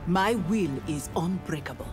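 A woman's voice: on the low side, expressive, moderate pace, a little mellow, faint music behind, lightly acted. A woman with a Nigerian accent voicing a proud, righteous young ninja warrior in a fighting game, formal and defiant. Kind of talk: video game fight dialogue.

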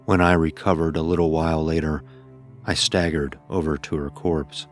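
A man narrating a scripted first-person horror story in a grave, deliberate storytelling manner. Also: extremely low pitch, fairly even intonation, faint music behind.

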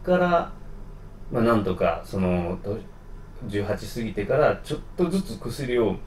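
A man's voice: fairly low pitch, big pitch swings, measured pace. A man from Osaka, chatting frankly and casually as he tells his own story as an interview guest. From Osaka, Japan. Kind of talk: casual conversation, telling life story. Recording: echoey room, distant mic, faint background noise.

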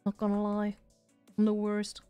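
A woman's voice: medium pitch, monotone, moderate pace, smooth, highs cut off, soft background music. A woman streamer chatting casually and rambling as she loses her voice. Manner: rambling, chatty, self-deprecating. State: losing her voice. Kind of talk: casual live-stream chat.

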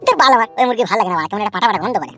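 Man acting grumpy, fast-talking cartoon village husband. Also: high voice, lively intonation, bright, forceful tone, faint music behind. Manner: bickering, blunt, repetitive. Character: grumpy, foul-mouthed village husband who wheedles his wife. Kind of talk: cartoon comedy dialogue.

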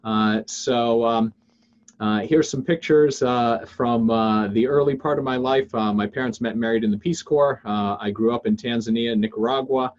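An American man in his fifties presenting a webinar informally, with halting delivery and frequent ums. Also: medium pitch, highs cut off. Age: 50s. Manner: halting, frequent filled pauses and restarts. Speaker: webinar host and investing teacher. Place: indoors on a video call.

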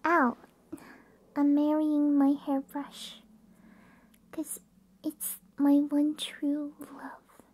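A woman voicing a playful, affectionate, bratty puppy girl in an ASMR roleplay, cutesy and breathy, close to the microphone. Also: high-pitched, monotone, drawn-out words, long pauses, darkish tone. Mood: playful, dreamy.

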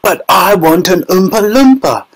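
Man as a bratty little girl, high pitch, whiny, insistent, demanding, children's storybook. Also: animated, normal speed, poor audio. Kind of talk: audiobook children's story character voice.

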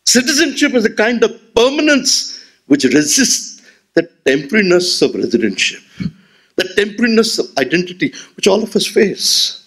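Husky Indian man lecturing expressively. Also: high-pitched, normal speed. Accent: Indian English. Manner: expressive, rhetorical, gestures while speaking. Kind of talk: memorial lecture. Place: auditorium stage at a podium. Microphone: podium gooseneck mic.

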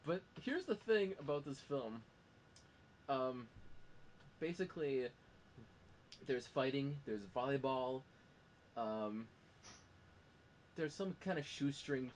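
A man with a mid-pitched voice chats about film as a host. He rambles along with enthusiasm, and there is faint noise behind him. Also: animated, slow, long pauses. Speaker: podcast host. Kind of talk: casual film discussion.

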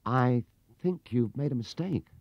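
A man's voice: medium pitch, animated, unhurried, dry recording, voice pushed up. A man voicing a polite, earnest, easily indignant young bear with a British accent for a storybook. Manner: earnest, self-important, very polite. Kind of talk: storybook character reading. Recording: dull 1970s cassette recording.

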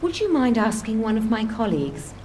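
High, lilting, apologetic desk clerk. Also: female voice, sing-song, brisk, mellow, a little hiss or hum. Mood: falsely sweet. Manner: apologetic, polite. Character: sweetly unhelpful female desk clerk. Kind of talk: video game voice acting.

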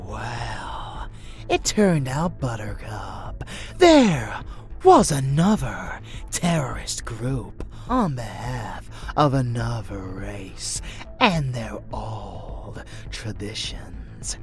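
A sneering vampire henchman played by a man, rebellious and unhinged. He drags his words out very slowly in a breathy drawl that swoops up and down, over faint music in a dark fantasy audio play. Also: mid-range voice, very animated, full character voice. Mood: mocking. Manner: drawling, sneering, sudden swells. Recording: music bed under voice.

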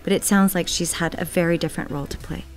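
A woman's voice: lowish voice, steady tone, fast talker, faint music behind, natural voice. A woman playing a resolute heroine in a supernatural audio drama. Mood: grave, resolute.

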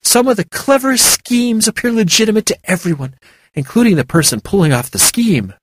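A majestic female snow leopard speaks with stern, scornful pride and a growl under her words, acted by a storybook narrator. Her voice is husky and swings widely in pitch. The reading sounds dry and close. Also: lively intonation, a little fast, no echo, close to an everyday voice. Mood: suspicious. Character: proud female legendary snow leopard, haughty.

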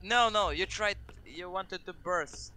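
A man's voice: high-pitched, slow pace, a crowd in the background. A man bantering casually and teasingly on gaming voice chat. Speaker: fellow player on voice chat.

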